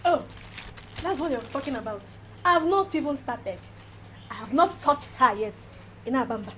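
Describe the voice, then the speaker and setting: An actress playing a defiant, scheming wife in a film drama, sharp-tongued and insistent, with a Nigerian accent. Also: female voice, high-pitched, lively intonation, moderate pace. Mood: defiant, demanding. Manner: scornful, insistent. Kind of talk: Nollywood film drama acting. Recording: clear background noise, location ambience.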